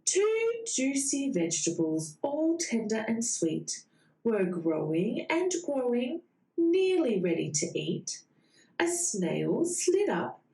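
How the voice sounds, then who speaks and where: A woman reading a bedtime storybook to young children in a sing-song way, with dramatic pauses before key words. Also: on the high side, very animated, vocal fry, dark tone. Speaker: children's read-aloud host. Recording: roomy echo.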